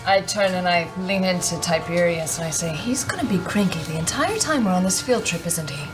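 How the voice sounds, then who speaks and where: A woman's voice: fairly low pitch, expressive, a little fast, over music. A woman playing a tabletop game, speaking in casual improvised roleplay.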